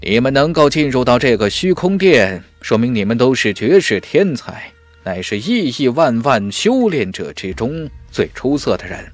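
A man voicing a commanding middle-aged master in a fantasy audiobook, speaking in a solemn, declamatory and deliberate way. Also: medium pitch, very animated, dry recording. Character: commanding middle-aged male cultivation master addressing young warriors. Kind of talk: fantasy audiobook character acting.

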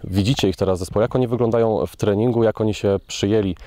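A man's voice: fairly low pitch, fairly even intonation, racing, warm tone, slight background noise. A man interviewing a football player, asking prepared questions with small hesitations. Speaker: club media interviewer.

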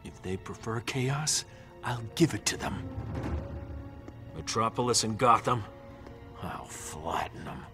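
An actor playing a tyrannical superhero turned dictator, cold, commanding and threatening, for a video game. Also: male voice, fairly low pitch, animated, takes it slowly, long pauses, over music. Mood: bitter, menacing.

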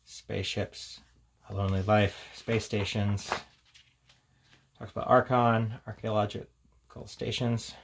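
A man's voice: fairly low pitch, little pitch movement, slow pace, gravelly, pauses often, slight background noise. A man giving a casual, unscripted, rambling commentary as a tabletop game reviewer, reading out headings between offhand remarks.

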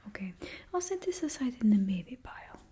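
A woman's voice: fairly high pitch, sing-song, a little fast, dark tone, husky, exaggerated voice. A young woman voicing a cheerfully ruthless stylist to supervillains, whispering close to the mic in a put-on Russian accent. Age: young adult. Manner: close whispering, drops little words. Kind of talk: whispered ASMR roleplay. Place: small indoor studio with backdrop. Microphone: close mic, face near camera.

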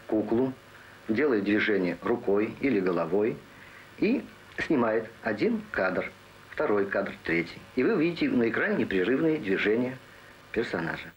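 Guy explaining animation to kids, slow simple phrases, old muffled film audio w a bit of hiss. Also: mid-range voice. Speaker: presenter explaining puppet animation. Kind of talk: explaining to children. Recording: dull, noisy archive film sound.

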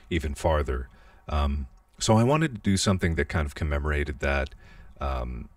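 A man in his thirties chatting casually and quietly in a small bedroom, holding a handheld mic, rambling and self-deprecating. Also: very deep voice, no echo, studio quality, distant mic. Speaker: YouTuber. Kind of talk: casual vlog chat. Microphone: handheld podcast mic, held awkwardly.

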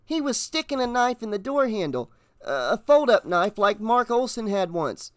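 Man as a young boy, high-pitched, eager, excitable, folksy, plucky, storybook character, dry sound. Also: moderate pace, no room sound. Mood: urgent, excited. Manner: folksy, plain-spoken kid talk. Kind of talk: audiobook character voice.

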